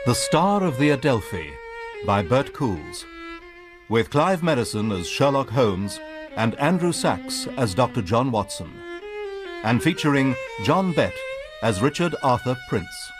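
Male announcer, lively intonation, mid pitch, reading radio drama credits over violin music. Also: measured pace.

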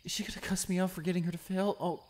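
A young man acting a shy, anxious, bullied high school student in an audio roleplay, stammering and hesitant. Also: level tone, rapid-fire, darkish tone. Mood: nervous, panicked. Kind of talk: romantic audio roleplay, one-sided dialogue.

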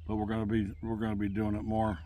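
A man's voice: fairly low pitch, completely flat delivery, quick pace, warm tone, slight background noise. A middle-aged man, talking casually to the camera while cooking at a campsite, rambling with many fillers and restarts. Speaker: camping vlogger. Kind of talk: casual outdoor cooking vlog. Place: campsite outdoors on open grass. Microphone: camera on a table nearby.

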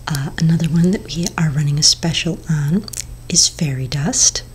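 A woman whispers close to the mic in a low, hushed voice, unhurried and soft. Faint hiss sits under her words in a small indoor room. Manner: whispering, slow and gentle, with fillers. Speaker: ASMR roleplay potion shopkeeper. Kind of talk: ASMR whispered roleplay. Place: small indoor room before shelves of bottles.

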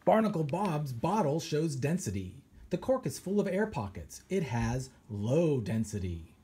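A man, a children's author, reads a science picture book aloud with animated storytelling and pirate flair. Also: on the high side, unhurried, husky. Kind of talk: expressive read-aloud.

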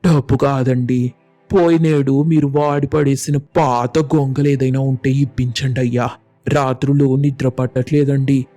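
A man's voice: medium pitch, flat delivery, measured pace, dark tone, no room sound, faint music behind, close to an everyday voice. A man playing a poor village labourer in a moral story, deferential and pleading. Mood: humble pleading. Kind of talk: animated storybook dialogue.

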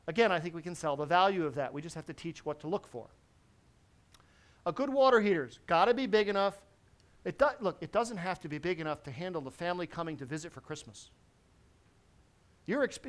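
A middle-aged man giving a technical lecture to a seated audience in a large conference hall, lively and constantly checking in with the listeners. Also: sits high. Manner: lively, quizzes the audience with frequent "right?". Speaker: presenter at a technical symposium.

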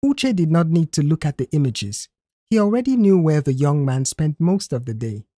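A woman's voice: low-pitched, big pitch swings, normal speed, dark tone, husky, no room sound, clean studio sound. A woman reading a scripted audio story as its narrator.

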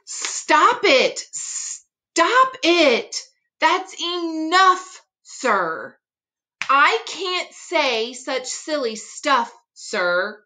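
A woman's voice: high voice, very animated, drawn-out words, sharp tone, voice pushed up, heavily acted. A woman voicing a flustered, polite male storybook character who protests and pleads in exasperation. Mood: exasperated.

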